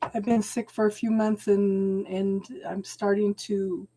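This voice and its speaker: A woman doing a live tarot reading at home, talking slowly and soothingly, often checking in with "okay?", and clearing her throat as she loses her voice. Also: mid-range voice, heavy background noise. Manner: slow, soothing, keeps adding "okay?". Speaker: tarot reader.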